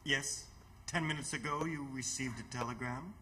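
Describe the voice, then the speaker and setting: An actor playing a mysterious, earnest young doctor in radio theatre, speaking solemnly and gently. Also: male voice, mid-range voice, normal speed, lightly acted. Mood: calm, knowing. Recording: clear background noise, clicks.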